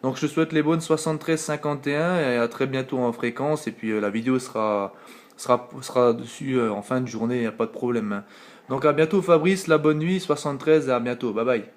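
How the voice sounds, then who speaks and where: A man's voice: mid-range voice, brisk. A man with a French accent on a late-night CB radio contact, rambling casually with many fillers, talking into his radio mic at home. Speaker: CB radio operator. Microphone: radio operator's microphone, filmed on phone.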